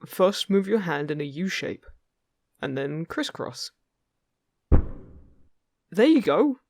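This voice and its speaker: A man playing a friendly, upbeat fairy guide in an intimate fantasy roleplay, chatty, gentle and encouraging with the listener. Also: fairly high pitch, very animated, slow, no echo, studio quality, exaggerated voice. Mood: encouraging, delighted. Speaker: voice actor in an audio roleplay.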